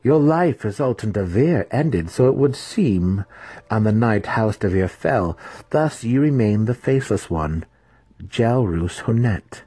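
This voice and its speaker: A man voicing a female dark elf matron priestess, haughty, scheming and commanding, in an audiobook. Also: fairly low pitch, animated, unhurried, slightly rough, no echo, pitched above the performer's own voice. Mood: cold, calculating.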